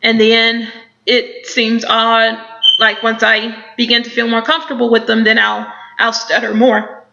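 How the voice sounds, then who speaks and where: A woman's voice: fairly high pitch, vocal fry, bright, forceful tone, dull audio. A young woman who stutters, with repeated words, blocks and many fillers, reading out questions about her stuttering and answering them. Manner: stutters with repetitions and blocks, many ums, thoughtful.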